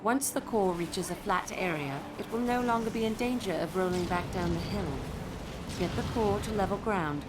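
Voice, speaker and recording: An actress playing a dry-witted, matter-of-fact military adviser in a video game, wry and crisp. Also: female voice, mid-range voice, moderate pace, background noise, lightly acted. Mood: briskly advising.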